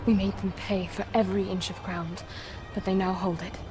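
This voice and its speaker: A woman acting a brisk, steadfast young soldier in a video game cutscene. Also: medium pitch, little pitch movement, background noise, close to an everyday voice. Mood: solemn, dutiful.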